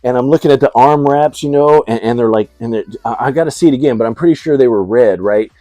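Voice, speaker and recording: A man's voice: medium pitch, fast, dark tone, dry recording. A middle-aged man hosting a casual movie review, talking in a slangy, effusive and emphatic fan's way. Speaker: video host, movie reviewer.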